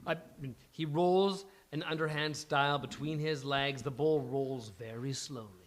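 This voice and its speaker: A man's voice: fairly high pitch, steady tone, unhurried, a little hiss or hum. A man reading scripted stage directions as narrator of a live comedy table read.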